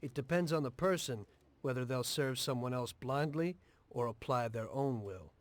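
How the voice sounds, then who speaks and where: An actor playing a weary, pragmatic middle-aged mobster in a video game, plainspoken and measured. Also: male voice, mid-range voice, lightly acted. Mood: matter-of-fact, firm.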